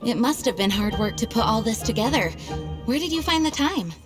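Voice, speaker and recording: A woman's voice: a little high, animated, brisk, music in the background, natural voice. A woman playing an earnest, modest young knight commander in a video game, speaking formally and sincerely. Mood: grateful. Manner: formal, sincere, gently hesitant. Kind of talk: animated video game voice acting.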